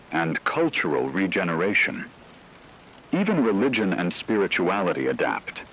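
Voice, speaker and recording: A man delivering scripted news narration as a radio co-anchor in a formal, measured way. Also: low voice, fairly bright tone, slight background noise, low-quality recording.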